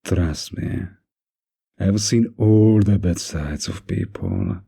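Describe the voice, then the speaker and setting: A man playing a mafia boss in an intimate roleplay audio, menacing yet kindly, with an unhurried, lightly teasing, flirtatious manner and a gravelly, husky voice. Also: sits low, big pitch swings, dark tone, heavily acted. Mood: firm, reassuring.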